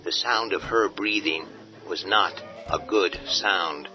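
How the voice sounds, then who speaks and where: A man giving measured, dramatic scripted narration of a horror radio drama. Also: low voice. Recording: dull lo-fi audio with faint music.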